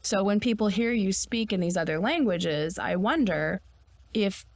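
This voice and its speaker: A woman's voice: mid-range voice, expressive, a little slow, dry recording. A woman co-hosting a podcast, explaining ideas in a blunt, opinionated way and swearing now and then. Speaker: podcast co-host. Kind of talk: casual podcast discussion.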